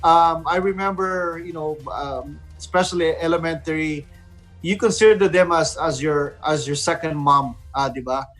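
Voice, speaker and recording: A man's voice: on the high side, moderate pace, a bit bright, soft background music, low-quality recording. A man with a Filipino accent hosting a casual radio discussion, speaking hesitantly and switching between English and Tagalog. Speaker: online radio host.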